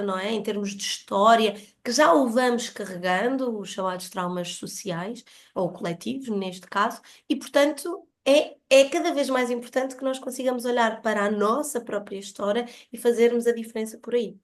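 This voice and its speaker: Female podcast guest, European Portuguese accent, fairly high pitch, earnest explanatory delivery in reflective conversation.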